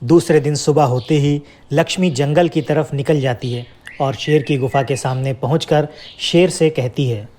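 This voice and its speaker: A man's voice: a little high, moderate pace, mellow, a little hiss or hum. A man narrating a scripted children's moral story as a storyteller.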